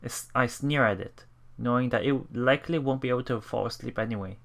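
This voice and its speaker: A man hosting a let's play, reading visual novel text aloud and commenting in a halting, thinking-aloud manner. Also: medium pitch, gravelly, a bit bright. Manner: halting, thinking aloud between read passages.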